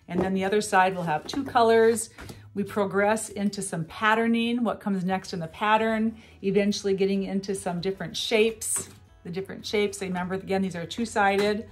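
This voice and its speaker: A middle-aged woman demonstrating educational toys at a table in a small room, explaining each step clearly and cheerfully, with faint music behind her. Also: medium pitch. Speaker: toy seller demonstrating products. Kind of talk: product demonstration.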